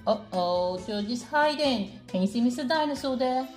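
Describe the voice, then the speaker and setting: A woman's voice: fairly high pitch, expressive, normal speed. A mother reading a picture book aloud to her young child, asking questions and encouraging her. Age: adult, mother of a young child. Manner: encouraging, repeats the child's answers. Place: home kitchen, indoors. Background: faint children's music.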